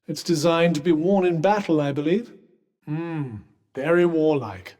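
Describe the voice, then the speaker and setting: A man's voice: on the high side, measured pace, darkish tone, close to an everyday voice. Man as a reverberant, haughty British magical cuff. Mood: dryly encouraging. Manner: pompous, droll, formal wording. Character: haughty, sardonic talking magical cuff, refined male companion. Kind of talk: scripted video game companion dialogue.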